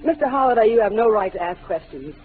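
A woman's voice: medium pitch, lively intonation, fast, noisy background, close to an everyday voice. An actress in a 1940s radio drama playing a composed, defensive young woman suspected of a crime. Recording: tinny old radio broadcast.

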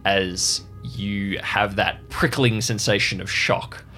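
A man narrating a tabletop role-playing game as game master, in a measured, descriptive way. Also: fairly low pitch, steady tone, sharp tone, soft background music.

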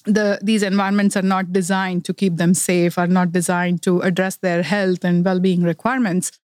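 A middle-aged woman who grew up in India speaks earnestly as a founder in a podcast interview, with many fillers as she explains. Her voice is smooth and resonant. The sound is dry and close. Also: on the low side, flat delivery, moderate pace, darkish tone, no room sound. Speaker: startup founder.

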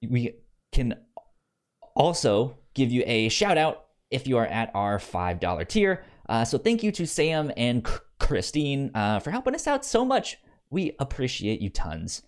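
A man chatting casually as a podcast host. His pitch moves around a great deal, and he often restarts words and phrases in a halting, conversational way. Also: big pitch swings.

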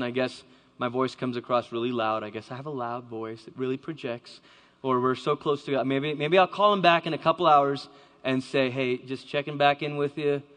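A man's voice: medium pitch, fairly bright tone. A man giving a dramatic storytelling talk to a live audience, acting out the voices he quotes. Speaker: speaker. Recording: long hall reverb.

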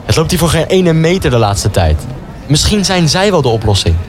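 Man acting pushy, excitable young brother, music underneath. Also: fairly high pitch, fairly quick, crowd noise. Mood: eager, urging. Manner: eager, pushy, complaining. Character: excitable, defiant young Dutch brother in wartime. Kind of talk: radio drama dialogue.

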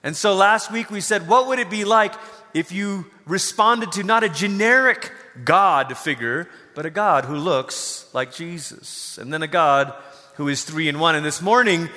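A middle-aged male pastor with a bright, forceful, fairly high voice preaches in a conversational style. He is an informal, animated storyteller, and his words ring with the long reverb of a large hall. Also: moderate pace. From Malaysia. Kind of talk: conversational sermon with personal storytelling.

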